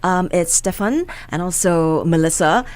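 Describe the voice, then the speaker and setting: A woman hosting a morning radio show from the studio. She is bright and easygoing, moving the interview along with friendly questions. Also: fairly low pitch, measured pace, smooth. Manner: bright, laughs easily. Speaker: radio host.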